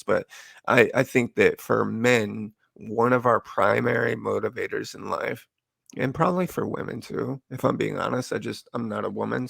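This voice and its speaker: A man's voice: fairly low pitch, gravelly, fairly bright tone, slightly rough, no echo. A man co-hosting a podcast, speaking in a casual, agreeable way and backing up his partner with frequent affirmations. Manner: agreeable, lots of "yeah" and "for sure" backchannels. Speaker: podcast co-host. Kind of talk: casual earnest discussion.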